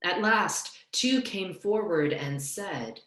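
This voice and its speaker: A young woman reads scripture as a solemn narrator. Her voice is quite low and her pace very unhurried. The sound is a roomy video call from home. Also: lively intonation, a bit bright. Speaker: clergywoman, narrator of a scripture reading. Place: small home room, indoors. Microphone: video call, computer microphone.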